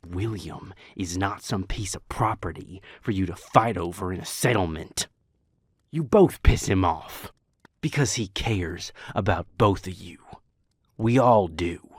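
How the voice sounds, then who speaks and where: A man playing a resentful, hot-tempered vampire with a husky voice in a scripted audio drama. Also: low voice, a little slow, dry recording. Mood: stern. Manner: combative, foul-mouthed. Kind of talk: scripted audio roleplay acting.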